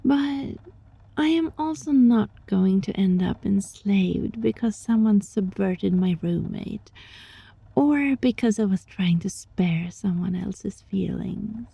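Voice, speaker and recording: Breathy, slow-talking kitsune seductress played by a woman. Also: medium pitch, no echo, full character voice. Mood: firm, resolute. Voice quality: breathy, often dropping into whisper. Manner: slow, teasing, softly menacing. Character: sly, teasing female kitsune fox-spirit roommate, controlling yet gentle. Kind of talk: ASMR roleplay, scripted monologue addressed to listener.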